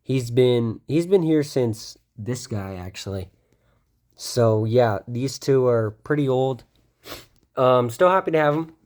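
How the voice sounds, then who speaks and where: A man's voice: medium pitch, animated, takes it slowly, a little mellow. A young man casually showing off his toy collection, rambling with lots of ums and self-corrections, his voice a bit stuffy from a cold. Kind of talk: casual show-and-tell of a collection.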